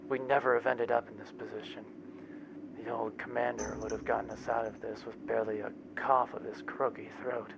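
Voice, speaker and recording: A resigned, dying starship captain, acted by a man mutters a deadpan log for a sci-fi comedy audio drama. The voice is low and gravelly, weary and bitter, with faint noise behind it.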